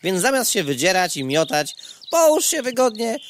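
A man's voice: high-pitched, big pitch swings, moderate pace, slight background noise. A man playing a grumpy, sarcastic, defeatist male mosquito in a comic audio drama, whining in crude everyday speech. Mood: doomed. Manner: whiny, sarcastic, crude colloquial griping.

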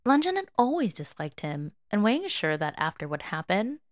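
A woman reading fiction aloud as a dramatic, expressive and emotive narrator. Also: mid-range voice, normal speed, no room sound, studio quality. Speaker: fan fiction audiobook reader.

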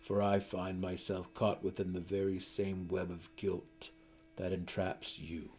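A man voicing an earnest, noble dark elf in a fantasy audiobook, speaking in measured, formal phrases. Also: deep voice, little pitch movement, faint music behind. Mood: solemn.

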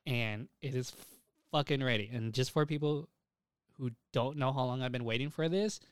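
Young guy chatting anime news on a podcast, sweary and rambly, dry sound, medium pitch. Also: moderate pace, clear, resonant tone, no echo. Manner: profane, rambling. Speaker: podcast co-host. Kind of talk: casual anime news chat.

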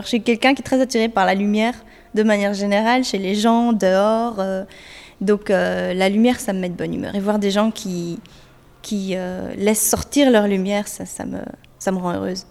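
A young woman singer-songwriter answers an interviewer's questions, pausing often and restarting her sentences. Her voice sits at a middle pitch and carries a long reverb from the room around her. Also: fairly even intonation, a little mellow. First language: French. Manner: hesitant, many fillers and restarts.

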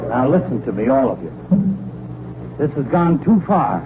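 A man's voice: mid-range voice, sing-song, normal speed, over a noisy crowd. An actor playing an exasperated, put-upon middle-aged father, blustering and complaining sarcastically in a 1940s radio comedy. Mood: exasperated lecturing. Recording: noisy, tinny 1940s radio broadcast.

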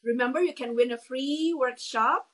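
Woman host, slightly high, gushing, filler-heavy. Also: normal speed, fairly bright tone. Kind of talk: casual live-stream chat.

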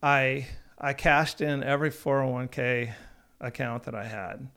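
A man's voice: mid-range voice, fairly even intonation, a little slow, sharp tone, clean tone. A man in his thirties, a company founder, telling his story in a casual podcast chat, slightly nasal and rambling with frequent restarts. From Oregon.

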